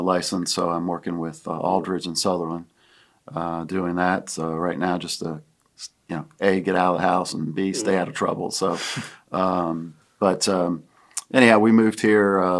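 Older guy, grandpa type, deep voice, slow thoughtful talk with lots of ums. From Pennsylvania. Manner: halting, reflective, many fillers. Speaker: guest. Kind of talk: casual conversation.

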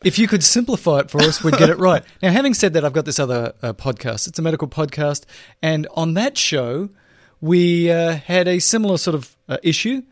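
A man's voice: on the high side, a little fast, no room sound, clean studio sound. A man co-hosting a podcast in casual chat, reading listener mail aloud and laughing often. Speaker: podcast co-host.

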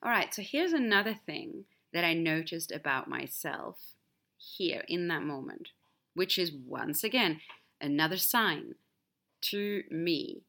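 A bright, slightly low-pitched woman speaks English as a second language; her native tongue is Swedish. She tells a personal story candidly, in a reflective monologue with big swings in emphasis. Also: lively intonation, unhurried. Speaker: podcast host.

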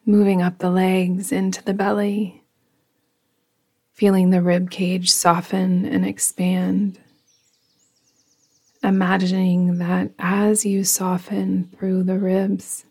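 Slow, hushed woman guiding meditation. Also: medium pitch. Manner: soft, breathy, hushed delivery trailing into whispers. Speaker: yoga nidra guide. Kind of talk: guided meditation, slow and soothing.

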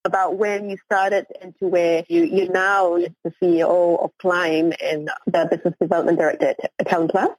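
A woman's voice: fairly low pitch, flat delivery, moderate pace, few pauses, no echo, poor audio. A woman hosting a podcast, asking questions in a hesitant, friendly way with plenty of fillers. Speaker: podcast host. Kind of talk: casual interview.

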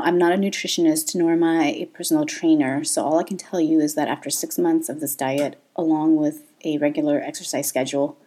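A woman in her thirties hosts a podcast, sharing her own life candidly and laughing often. Her voice is low and mellow, with a husky edge. Faint music plays underneath. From Thailand. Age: 30s. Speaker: podcast host. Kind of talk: personal podcast monologue.

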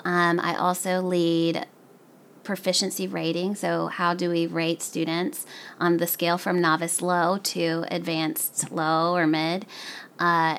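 Lower-voiced, earnest woman from the American South. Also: creaky, a bit bright. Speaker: professional learning specialist, podcast guest. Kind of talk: conversational interview answers.

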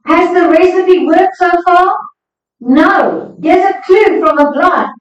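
Woman preacher, high voice, unhurried and emphatic sermon delivery, heard at a distance in a very echoey space. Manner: emphatic, exhorting. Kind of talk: sermon to a congregation. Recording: echoey hall, distant, slightly dull sound.

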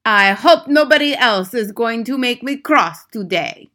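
A woman's voice: fairly high pitch, lively intonation, fairly bright tone, voice pushed up. A woman voicing a cruel, furious grand witch from a storybook, screaming imperiously and drawing out her words. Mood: gloating, cross. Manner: screaming, imperious, slow drawn-out emphatic words. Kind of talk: dramatic storybook character voice.

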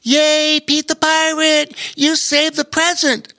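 A man's voice: very high-pitched, monotone, takes it slowly, vocal fry, bright, forceful tone, voice pushed up, full character voice. A man voicing a proud mother in a children's story. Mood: joyful. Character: tall mother, warm and proud.

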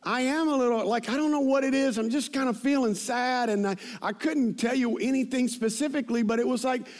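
High-voiced man preaching in a conversational style. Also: a little fast. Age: 50s. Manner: informal, repeats phrases for emphasis. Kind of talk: conversational sermon with personal anecdotes.

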